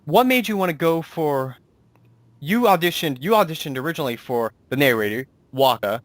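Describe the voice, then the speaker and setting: A man's voice: fairly high pitch, expressive, brisk, no echo. Man chatting as interviewer, dry close sound. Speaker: interviewer and director of an amateur audio drama. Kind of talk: casual interview chat.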